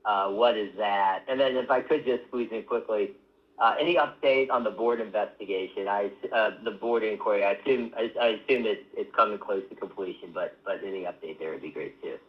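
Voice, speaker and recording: A man's voice: lowish voice, a little fast. A man asking questions as an analyst on an earnings call, polite and full of restarts. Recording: muffled phone-line audio.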